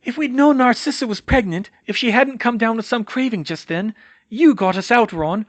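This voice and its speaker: A man's voice: very high voice, normal speed, voice pushed up. A man voicing a brave, earnest young witch in a strained, battle-worn way for a storybook reading. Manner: strained, wheezing, commanding.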